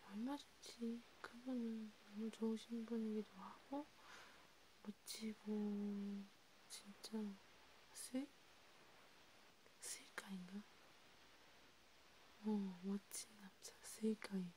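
A woman speaking in a hushed, rambling ASMR style, half whispering, as if chatting one-to-one with a listener. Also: medium pitch, little pitch movement, takes it slowly, long pauses, a little hiss or hum, poor audio. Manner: hushed, rambling, intimate one-sided conversation. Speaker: ASMR creator. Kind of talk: soft ASMR roleplay chat, partly whispered.

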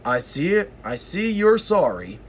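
A man voicing a stern but kindly railway boss in a children's storybook, with measured, halting delivery. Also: sits high, very animated, a little hiss or hum.

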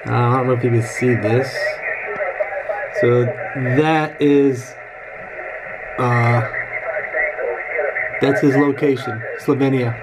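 Noisy radio static surrounds a middle-aged American man in a small home room. He talks in a casual, rambling vlog style at a medium pitch, like a hobbyist at his radio desk. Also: steady tone, slow pace, pauses often. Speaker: amateur radio operator and vlogger. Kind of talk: casual vlog talk with radio call-outs.

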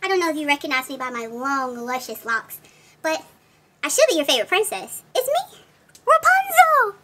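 A woman voicing an excitable, vain young princess in a gushing, girlish, dramatic way for a children's story. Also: high voice, normal speed, pitched above the performer's own voice, full character voice. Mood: excited, gushing. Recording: music behind the voice.

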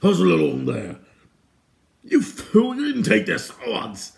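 Man as a gruff, quarrelsome dwarf warrior. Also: medium pitch, normal speed, warm tone, reverberant, lightly acted. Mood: angry barking. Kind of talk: fantasy storybook character voice.

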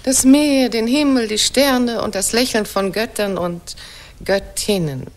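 An actress in a German radio play playing a proud, jealous wife of about forty, theatrical and pointed. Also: female voice, a little high, a little slow. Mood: adoring.

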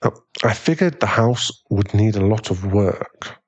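A man playing an affectionate, aristocratic British werewolf fiancé in an ASMR boyfriend audio roleplay, speaking in a gentle, polite, unhurried way. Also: fairly low pitch, animated, dull audio. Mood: businesslike, calm.

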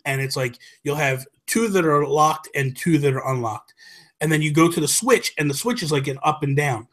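A middle-aged man co-hosting a podcast, chatting casually about games and explaining in an animated, colloquial way. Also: a little high, normal speed. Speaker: podcast co-host. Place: small room at home. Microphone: desk microphone on a video call, headphones.

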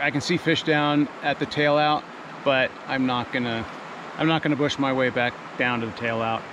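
A man narrating casually while hiking and fishing a mountain stream, thinking aloud with fillers and restarts. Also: mid-range voice. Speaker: fishing vlogger. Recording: outdoor recording with stream noise. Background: rushing stream water.

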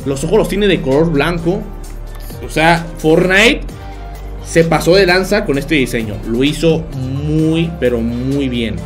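A man's voice: a little high, measured pace, faint music behind. A young man with a Mexican accent, livestreaming a game and chatting with viewers, joking and laughing often as he tells stories. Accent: Mexican Spanish. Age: young adult. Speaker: streamer. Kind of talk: live game stream chat. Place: small bedroom.

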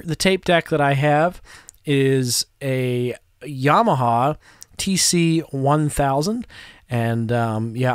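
A man in his thirties narrating a casual how-to in an unhurried, hesitant, step-by-step way, close to the microphone. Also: on the high side, clear, resonant tone, no echo. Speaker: audio restorer presenting a how-to. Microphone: close microphone in front of him.

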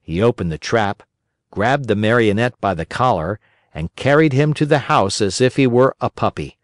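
Expressive male storybook narrator. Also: on the low side, moderate pace, no echo, clean studio sound. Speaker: audiobook narrator. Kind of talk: scripted children's story narration.

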